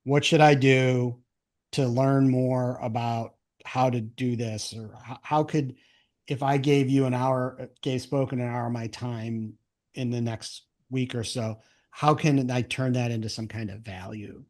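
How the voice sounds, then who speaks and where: A man with a mid-pitched voice hosts a casual interview show. He talks in enthusiastic, rambling riffs, working out his thoughts as he speaks and checking that he is being followed. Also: steady tone, measured pace, darkish tone. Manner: thinks aloud in rambling, enthusiastic riffs, often checking with "right?". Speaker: podcast host and interviewer.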